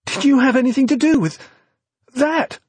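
A man reading an audiobook, voicing a frightened, earnest young woman with a husky, raised voice that climbs into shrill cries. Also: fairly quick, darkish tone, dry recording, recorded from a distance. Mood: panicked. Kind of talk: audiobook character voice.

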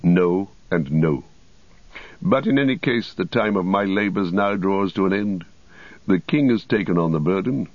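A man voicing a wise, steady old wizard with measured, solemn archaic speech in an audiobook. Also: low-pitched, no echo, muffled recording. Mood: solemn reproof.

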